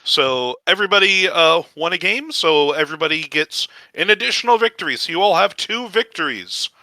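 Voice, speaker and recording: A man running an online tabletop game as game master, relaxed and joking, often laughing. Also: on the high side, a little fast, no echo, poor audio.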